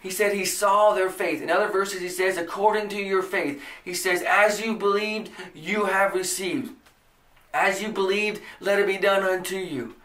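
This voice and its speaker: Earnest American pastor, echoey room. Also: male voice, sits high, fairly even intonation, normal speed, a bit bright, recorded from a distance. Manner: earnest, emphatic preaching with rising bursts. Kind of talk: podcast sermon. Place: small indoor room before a church banner.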